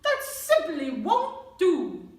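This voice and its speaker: A woman voicing a haughty, demanding female opera diva seal from a storybook, imperious and loud. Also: very high voice, wildly swooping pitch, very slow, voice pushed up, heavily acted. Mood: indignant. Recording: roomy echo, distant mic.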